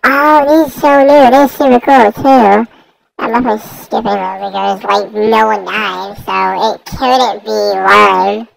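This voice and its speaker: A gaming YouTuber heard through a voice changer, giving casual, slangy and repetitive gameplay commentary in a gravelly voice. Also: mid-range voice, steady tone, unhurried, dry recording, rough recording. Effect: voice changer raising the voice.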